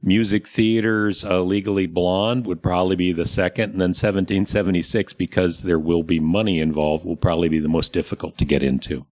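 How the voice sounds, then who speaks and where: A man's voice: low-pitched, steady tone, a little fast, hardly any pauses, darkish tone. A man hosting a casual talk show about local happenings, speaking in a plain-spoken, encouraging way. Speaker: radio show host. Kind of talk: casual theater chat.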